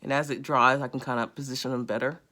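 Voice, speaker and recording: A woman in her thirties with a raspy voice, chatting casually while she demonstrates a beauty routine close to a phone camera indoors. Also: a bass voice, fairly even intonation, fast talker, sharp tone. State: raspy after illness. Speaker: beauty vlogger. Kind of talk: casual how-to demo. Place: small indoor room.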